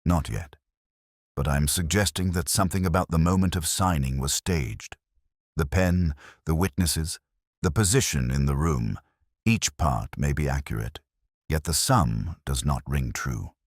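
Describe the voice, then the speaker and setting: A man voicing a keen, analytical Victorian gentleman detective in a story, precise and probing in manner. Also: very deep voice, measured pace, no echo, clean studio sound. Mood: keen, assured.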